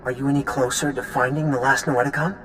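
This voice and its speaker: A man's voice: medium pitch, little pitch movement, fast talker, a bit bright, soft background music, close to an everyday voice. A man voicing an earnest, concerned ally in a video game, speaking with urgency. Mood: worried. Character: earnest, worried male ally bearing news.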